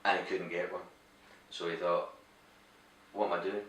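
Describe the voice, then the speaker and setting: A Scottish man with a Scottish accent, a company director answering interview questions in a brisk, matter-of-fact way with dry humor. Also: sits low, flat delivery, a bit bright, slight background noise. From Scotland. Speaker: managing director. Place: glassworks showroom. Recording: echoey room, voice a bit far from mic.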